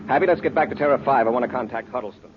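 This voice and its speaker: A man acting as a commanding space patrol leader in a vintage radio serial. He talks fast and firmly, with crisp authority. The old recording is muffled, with clear noise behind him. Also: medium pitch, lightly acted. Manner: brisk, authoritative.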